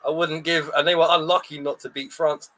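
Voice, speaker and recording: A man's voice: a little high, level tone, pushed and bright, slight background noise. Blunt, joking Englishman in football chat. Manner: blunt, joking, quick to laugh. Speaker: guest.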